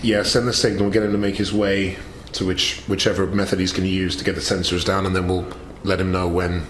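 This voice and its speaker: A deep-voiced man talks quickly and forcefully as a tabletop player planning in character. He is brisk and businesslike, in an echoey room. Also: little pitch movement. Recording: echoey room, faint noise.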